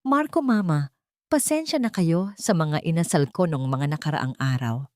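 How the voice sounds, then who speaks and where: A woman storyteller voicing a wife who feigns meek, apologetic surrender, softly and humbly. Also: low voice, animated, moderate pace, dry recording, clean studio sound. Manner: soft, falsely humble.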